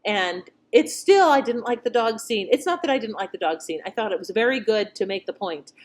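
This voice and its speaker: A woman's voice: a little high, lively intonation, fairly quick. A middle-aged woman hosting with casual, conversational and reflective commentary. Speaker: channel host. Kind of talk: casual commentary on old radio shows.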